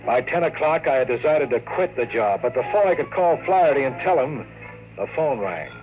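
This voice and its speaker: A man's voice: mid-range voice, expressive, brisk, close to an everyday voice. Wry hardboiled private eye played by a man. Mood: brooding narration. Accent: American. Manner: wry, slangy, sardonic. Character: cynical wisecracking hardboiled male private investigator narrating his case. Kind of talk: 1950s radio drama acting. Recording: dull old radio broadcast, prominent music.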